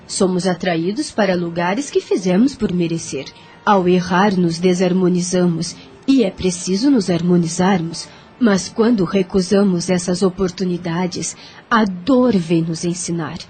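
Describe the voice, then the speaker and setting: An actress playing a serene spirit guide in an audio drama, teaching in a solemn, didactic manner. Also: female voice, lowish voice, a little slow, dark tone, soft background music, close to an everyday voice. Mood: solemn, counselling.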